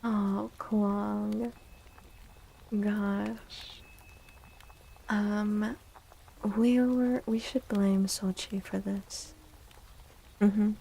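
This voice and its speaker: Woman, soft-spoken ASMR tarot streamer, half-whispered, encouraging, creaky low-key voice, faint background noise. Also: little pitch movement, rough recording. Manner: gentle, slow, encouraging.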